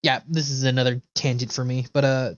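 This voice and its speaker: A man's voice: medium pitch, little pitch movement, a little fast, dry recording, highs cut off. A man livestreaming with casual, enthusiastic and sweary commentary over video game cutscenes. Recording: game music playing loudly behind. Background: video game cutscene music and dialogue.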